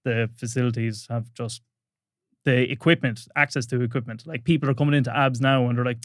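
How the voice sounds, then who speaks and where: A man's voice: medium pitch, steady tone, brisk, no room sound, clean studio sound. A young man from rural Ireland with a rural Irish accent, an easygoing guest telling stories in a casual podcast chat. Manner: easygoing storyteller, casual swearing.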